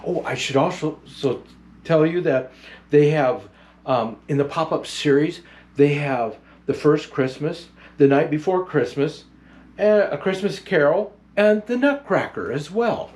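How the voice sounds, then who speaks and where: A man playing a jolly, kindly old Santa Claus, reading a picture book to children in an unhurried, grandfatherly way with chatty asides. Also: on the high side, a little mellow, natural voice. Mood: gentle storytelling.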